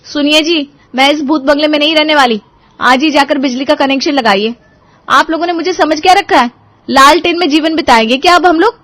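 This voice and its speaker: A woman voicing a sharp-tongued, scheming young daughter-in-law in a cartoon moral story, sarcastic and emphatic. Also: sits high, a little fast, pitched above the performer's own voice. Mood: indignant, exasperated. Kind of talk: animated Hindi moral story dialogue.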